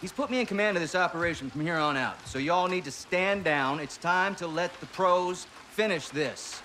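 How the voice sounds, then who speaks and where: An actor with a Southern American accent playing a smug, commanding military contractor in a video game, drawling and condescending. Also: male voice, high voice, normal speed, bright, forceful tone, slight background noise. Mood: cold, controlled. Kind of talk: cinematic video game acting.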